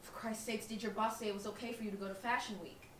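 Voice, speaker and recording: A woman's voice: a little high, steady tone, fast talker, fairly bright tone, lightly acted. A young woman playing a sarcastic, dramatic aspiring designer in a comedic play, with a theatrical, teasing delivery. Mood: pleading, exasperated. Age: young adult. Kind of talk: comedic stage acting. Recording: echoey room, distant mic, background hiss.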